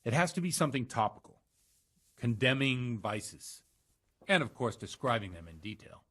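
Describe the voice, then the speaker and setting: An actor playing a worldly, roguish village priest with a theatrical streak in a medieval video game. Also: male voice, mid-range voice, sing-song, moderate pace, fairly bright tone, slightly rough. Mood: chatty, amused. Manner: conversational, theatrical when preaching. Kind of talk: dramatic video game dialogue.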